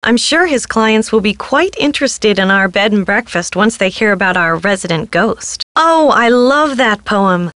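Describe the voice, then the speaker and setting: A woman's voice: mid-range voice, lively intonation, normal speed, few pauses, fairly bright tone, dry recording, lightly acted. An actress voicing a dramatic, airy woman innkeeper devoted to the spirits, in a video game. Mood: chatty, earnest. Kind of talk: video game character dialogue.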